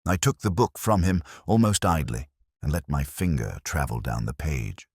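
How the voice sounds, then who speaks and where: A man narrating a scripted first-person detective story in a measured, literary, brooding manner. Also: extremely low pitch, expressive, a little mellow, slightly rough, no room sound, clean studio sound.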